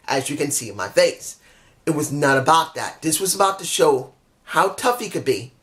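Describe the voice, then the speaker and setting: A man giving a candid, rambling review of a wrestling show, frustrated at times, with a voice that is going hoarse. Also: a little high, a bit bright. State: losing his voice. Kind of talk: casual opinionated review.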